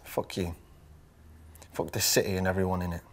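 A man's voice: deep voice, flat delivery, normal speed, slight background noise. A man acting an embittered, hate-filled man in a relentless, controlled, venomous film monologue. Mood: seething, contemptuous. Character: embittered, hate-filled man cursing his whole city.

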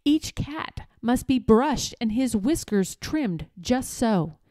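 A woman narrates a children's story with a very expressive, swooping delivery. Her tone is soft and mellow, and the recording is close and dry. She phrases deliberately, like a storyteller. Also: a little high, dry recording. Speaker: read-aloud storyteller.

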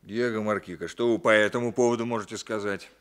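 An actor playing a composed, commanding police interrogator in a 1960s Soviet radio drama, terse and probing. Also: male voice, fairly low pitch, steady tone, fast, fairly bright tone, smooth, close to an everyday voice. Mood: firm, probing.

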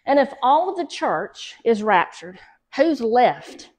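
A middle-aged Southern woman leads a church Bible study at an unhurried pace. Her voice sits a little high, and she reads scripture aloud before explaining it with pauses and fillers. She is picked up by a camera across a small fellowship hall. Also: big pitch swings. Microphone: far camera.

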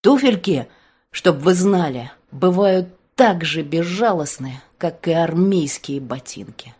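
A woman narrating an audiobook in a dramatic first-person style, expressive, with deliberate pauses. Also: lowish voice, breathy edge.

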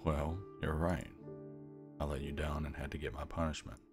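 A young man with an extremely low voice, thick with vocal fry, reads a visual novel aloud as he plays. He slips between story narration and casual streamer asides. Music plays clearly behind him. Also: steady tone, moderate pace. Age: young adult. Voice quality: gravelly. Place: small room at home, indoors. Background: game music.

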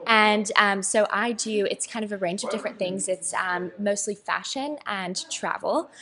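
Young american woman, bit hesitant, lots of ums, crowd chatting loud behind. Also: mid-range voice, normal speed. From United States. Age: young adult. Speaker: guest blogger. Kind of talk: casual interview. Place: busy indoor venue. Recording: noisy crowded room.

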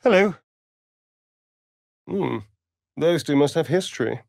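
Sly, ancient male vampire for a game: theatrical, flirtatious, slow drawl, very animated pitch, dry close recording. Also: vocal fry, dry recording, full character voice. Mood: sardonic, flirtatious. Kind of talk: video game character acting.

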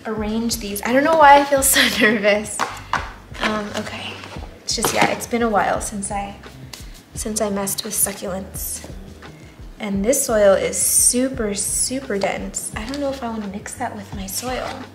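A woman's voice: fairly high pitch, unhurried, over music. A young woman with a stuffy, nasal, partly lost voice chatting casually while repotting plants, trailing into murmured asides. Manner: chatty, self-doubting, many fillers and murmured asides. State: congested, nasal, voice partly gone. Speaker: plant vlogger. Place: indoors at a table.

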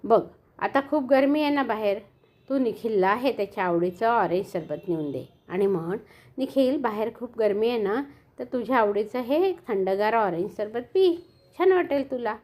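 A calm, patient mother who reasons gently with her child, as a woman storyteller puts her on. She talks in a measured, soothing way, a touch lower than the narration. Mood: gentle.

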